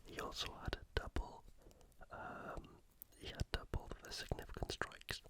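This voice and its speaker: A video creator giving fight reactions in a whispered ASMR style, with hesitant, conversational phrasing. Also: a little slow. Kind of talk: whispered ASMR sports commentary.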